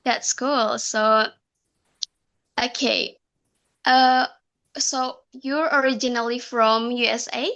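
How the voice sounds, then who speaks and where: A woman's voice: a little high, fairly even intonation, slow, pauses often, a bit bright. A young Indonesian woman, a student, asking interview questions in English with a hesitant manner and many fillers. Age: young adult.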